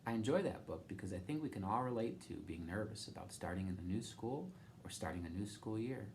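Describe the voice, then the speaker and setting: A man reading a children's story aloud with expressive, dramatic emphasis. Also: on the low side, a little fast, a little hiss or hum. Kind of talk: animated read-aloud narration for children.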